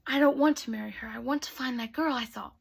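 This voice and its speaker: A woman's voice: a little high, a little fast, gravelly, slightly rough. A woman voicing an eager young prince in a storybook tale, speaking earnestly and pleading.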